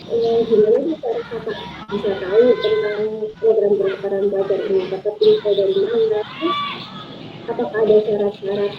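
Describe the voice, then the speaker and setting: A woman's voice: medium pitch, sing-song, a little fast, noisy background. Muffled, echoey young woman interviewer. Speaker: interviewer, student host. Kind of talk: casual interview questions.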